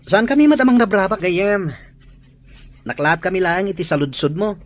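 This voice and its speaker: A woman's voice: mid-range voice, animated, moderate pace, close to an everyday voice. A woman playing a joking, easygoing teenage schoolboy in a radio drama. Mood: teasing.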